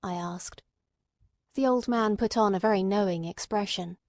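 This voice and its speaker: A woman reading scripted literary narration in a measured storytelling manner, with acted dialogue. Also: mid-range voice, a little mellow, dry recording.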